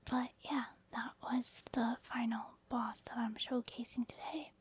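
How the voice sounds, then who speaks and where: A woman giving soft-spoken ASMR game commentary in a breathy, hushed whisper, gently explaining as she goes. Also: fairly high pitch, little pitch movement. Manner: gentle, unhurried, softly explaining. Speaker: host of a gaming ASMR channel.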